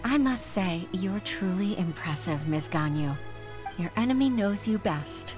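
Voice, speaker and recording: A woman's voice: fairly low pitch, expressive, unhurried. A woman playing a polite, earnest and humble young office worker in a video game, speaking formally. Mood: impressed. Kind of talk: video game character acting. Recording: game music behind.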